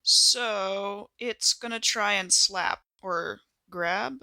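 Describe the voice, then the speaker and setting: Female tabletop player, forceful bright tone, casual and conversational, close dry sound. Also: medium pitch, extremely slow, vocal fry, dry recording, rough recording. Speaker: player in a tabletop role-playing game. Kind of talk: casual game-table chat.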